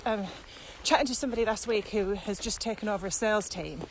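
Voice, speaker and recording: British woman chatting over clear ambient noise. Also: mid-range voice, quick pace. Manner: questioning, acts out quotes. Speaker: podcast co-host and trainer. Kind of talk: casual walking podcast chat. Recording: noisy walking recording with ambient sound.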